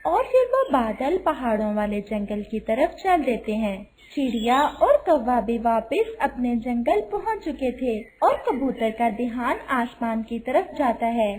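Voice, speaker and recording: A woman's voice: fairly high pitch, sing-song, measured pace, hardly any pauses, darkish tone, a little hiss or hum, low-quality recording. A woman narrator reading a scripted children's story.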